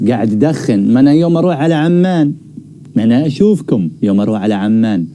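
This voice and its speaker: A man's voice: medium pitch, expressive, very fast, dark tone, slight background noise. A man bantering casually as a live stream host, teasing and prodding with questions.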